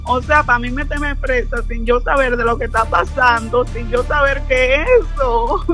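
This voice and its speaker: A woman's voice: high-pitched, fairly even intonation, normal speed, fairly bright tone. A nervous, distressed young woman on a phone call, protesting in a pleading, repetitive way. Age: young adult. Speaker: prank call target. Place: on the other end of a phone call. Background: music.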